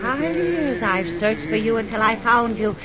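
Actress as a high-voiced, coldly vengeful bride. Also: female voice, animated, a crowd in the background, lightly acted. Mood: vengeful, frenzied. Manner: deliberate, insinuating, theatrical. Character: cold, vengeful bride trapping her brother's tormentor. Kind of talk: old-time radio suspense melodrama. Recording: muffled, noisy vintage radio recording with rumble.

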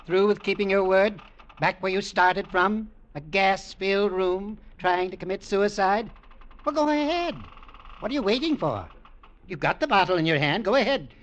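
Sneering, high-pitched businessman uncle, old radio. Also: expressive, fairly bright tone, a little hiss or hum. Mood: taunting, sneering. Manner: sneering, deliberate, needling. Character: cold, taunting, wealthy businessman uncle, hard and calculating. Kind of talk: 1940s-50s radio drama acting. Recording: dull vintage radio recording.

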